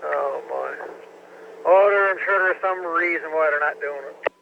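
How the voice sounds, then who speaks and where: A man's voice: on the high side, measured pace, vocal fry. A man with a Newfoundland accent talking from his vehicle over a mobile radio, laconic with short replies. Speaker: ham radio operator. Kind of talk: casual radio chat. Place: in a moving vehicle. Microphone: mobile radio mic. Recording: dull radio audio with faint vehicle noise.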